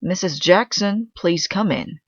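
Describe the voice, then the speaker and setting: A woman's voice: fairly low pitch, unhurried, no echo, dull audio, pitched above the performer's own voice. A woman voicing a commanding, easily exasperated doctor in a children's storybook, giving firm instructions.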